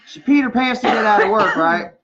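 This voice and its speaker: A man's voice: high voice, fairly quick, noisy background. A man in his thirties chatting casually on a livestream, rambling with lots of "man" and "bro" and frequent laughs. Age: 30s. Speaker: livestream co-host. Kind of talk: casual chat reading live comments. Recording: lo-fi livestream audio.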